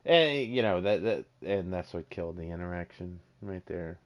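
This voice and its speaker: A man's voice: sits low, expressive, moderate pace. An American man co-hosting a podcast, chatting casually and teasing his co-host. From United States. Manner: teasing, prodding. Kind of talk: casual chat.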